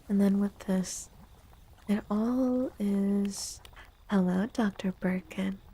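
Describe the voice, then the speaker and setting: A soft-spoken woman with a breathy, creaky voice hosts an ASMR livestream. Her delivery is slow, gentle and soothing, often sinking close to a whisper. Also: medium pitch, poor audio. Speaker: livestream host and tarot reader.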